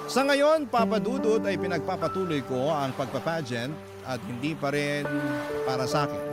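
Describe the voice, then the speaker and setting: A man narrating a listener's heartfelt letter for a radio drama in an earnest, measured way. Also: fairly high pitch. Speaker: narrator reading a listener's letter and hosting the segment. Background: loud background music.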